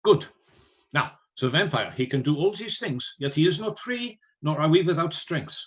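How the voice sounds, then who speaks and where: A man playing a learned, commanding vampire hunter in a radio play, with formal, deliberate oratory. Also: on the high side, lively intonation, fairly bright tone. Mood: grave, commanding.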